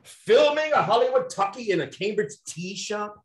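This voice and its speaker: A brusque federal agent from a 1920s stage play, acted out by an amateur player. The delivery is officious and suspicious, with very lively swings in pitch. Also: moderate pace, natural voice.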